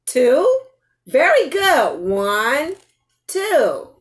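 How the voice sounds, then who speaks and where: An older woman reading a picture book aloud to young children, playful and expressive, often putting questions straight to her listeners. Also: high voice, very slow. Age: older, grandparent. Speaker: storytime host reading to children.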